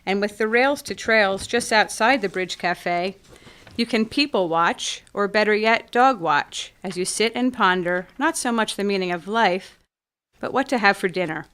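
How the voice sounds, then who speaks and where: A woman acts out a gracious small-town café owner in a radio play. She speaks at a middling female pitch, in measured, descriptive phrases with a poetic turn. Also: fairly bright tone, clean tone, lightly acted. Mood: reflective. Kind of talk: scripted community radio theatre acting.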